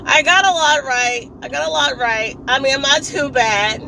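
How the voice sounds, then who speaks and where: A woman with a hoarse, raspy voice, chatting casually as she drives to work and often laughing and clearing her throat. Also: high-pitched, moderate pace, noisy background. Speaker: vlogger. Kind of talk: casual chat while driving. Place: in a car on a commute.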